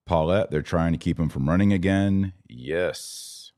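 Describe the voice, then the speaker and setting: A man's voice: deep voice, a little slow, darkish tone. An American man hosting a podcast, giving emphatic, opinionated live commentary on politics and religion in short clipped phrases. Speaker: podcast host.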